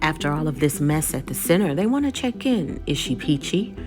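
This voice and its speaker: A woman's voice: sits low, very animated, a little fast, music in the background. A woman narrating a scripted episode recap as the host of a video channel.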